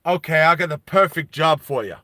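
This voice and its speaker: Man putting on a loud, brash synth foreman. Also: a little high, brisk, no room sound, studio quality. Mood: brash, mocking. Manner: blunt, loud, slangy, taunting. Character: gruff smoking synth foreman in hard hat, brash, mocking.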